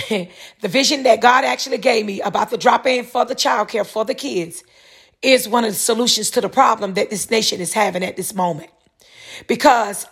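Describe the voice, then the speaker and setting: A woman's voice: a little high, fairly bright tone, husky. A woman, hosting a solo podcast, reading a news article aloud and then rambling through casual commentary, repeating herself and correcting her words.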